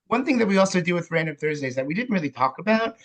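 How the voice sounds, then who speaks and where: A man's voice: sits high, animated, rapid-fire, fairly bright tone. A man in a casual conversation about theatre, explaining his thoughts earnestly.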